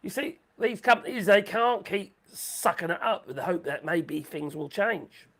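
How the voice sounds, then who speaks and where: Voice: medium pitch, moderate pace, fairly bright tone. A livestream host rambling through sarcastic commentary, saying the voice is going. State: losing voice.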